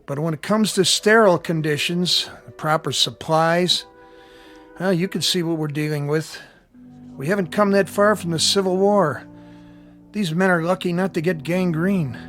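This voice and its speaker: An actor playing a frank, world-weary army medical sergeant in a war radio drama. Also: male voice, on the high side, normal speed, a bit bright, faint music behind, close to an everyday voice. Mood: grim, resigned. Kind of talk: audio drama acting.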